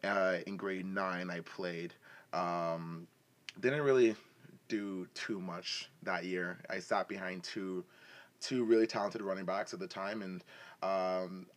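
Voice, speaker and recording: Deep-voiced young man chatting playfully. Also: fairly even intonation, slow pace. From West and East Africa, then Canada. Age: 20s. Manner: playful, bantering, slangy. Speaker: football player telling their story as a podcast guest. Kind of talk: casual chat, personal storytelling.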